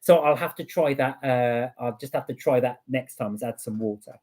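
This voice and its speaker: A man chatting on a home live stream, working through viewers' comments one by one. He rambles in a relaxed, conversational way, full of ums and mid-sentence restarts, at an ordinary pitch. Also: moderate pace. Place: home room with a heater.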